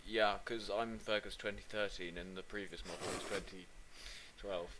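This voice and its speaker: A teenage boy joking in a deadpan way as a guest in a casual podcast chat. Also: on the low side, normal speed, a crowd in the background, poor audio.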